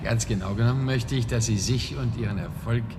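A male actor plays a calculating middle-aged mayor in a radio drama. He bargains suavely in a medium-pitched, insinuating voice with clear background noise. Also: brisk, lightly acted. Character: smooth, calculating mayor bargaining for political support. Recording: noisy background ambience.

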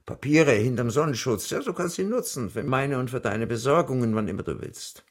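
An actor playing a frail, dying old widower in a radio drama, morbid and pleading, with a husky, gravelly, halting voice. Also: male voice, medium pitch, animated, natural voice. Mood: eager, halting. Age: older. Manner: halting, hesitant.

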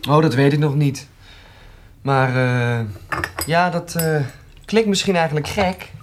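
A young man playing a shy but keen student with farming dreams in a Dutch radio drama, speaking haltingly. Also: a little high, steady tone, slight background noise, lightly acted. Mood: bashful, keen. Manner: halting, bashful.

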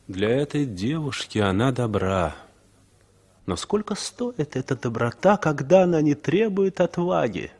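An actor playing a brooding, bitter passenger who moralizes in a measured, rhetorical way in a 1960s Soviet radio drama. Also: male voice, medium pitch, big pitch swings, darkish tone, husky, exaggerated voice. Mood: bitter, reflective.

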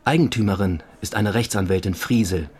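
Low-voiced, matter-of-fact police investigator. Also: male voice, fairly quick, darkish tone, slightly rough. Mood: factual. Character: matter-of-fact male police investigator giving a case report. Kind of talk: German audio drama dialogue.

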